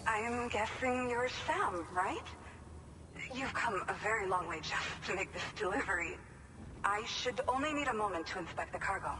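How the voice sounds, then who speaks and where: A woman playing a polite facility worker who receives a delivery in a game cutscene, brisk and friendly. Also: medium pitch, lightly acted. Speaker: facility staff receiving cargo. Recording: noisy.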